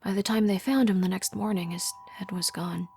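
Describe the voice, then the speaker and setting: A woman voicing the grieving child of a local historian, speaking quietly and subdued. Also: mid-range voice, steady tone, a little fast, creaky, a little hiss or hum, lightly acted.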